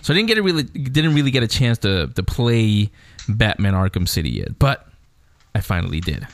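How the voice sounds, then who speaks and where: A man's voice: on the low side, sing-song, brisk, no echo. A man hosting a radio show in casual, chatty conversation.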